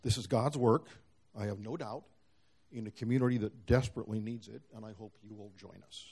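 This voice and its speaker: A man presenting a community project to a congregation in measured, hopeful prepared remarks. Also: lowish voice, fairly even intonation, a little mellow, breathy edge. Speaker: church member presenting a project.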